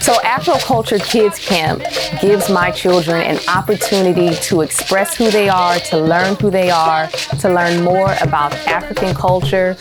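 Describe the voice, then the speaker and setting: A woman with a lowish voice speaks calmly and sincerely as a parent. Loud music plays beneath her words throughout. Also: normal speed. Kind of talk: interview testimonial. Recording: music bed behind voice.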